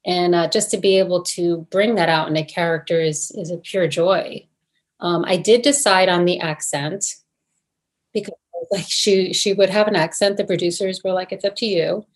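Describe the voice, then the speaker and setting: Thoughtful woman with many pauses. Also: on the low side, steady tone. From New Jersey. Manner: thoughtful, hesitant, many fillers and restarts. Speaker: actress, guest. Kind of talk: interview answers.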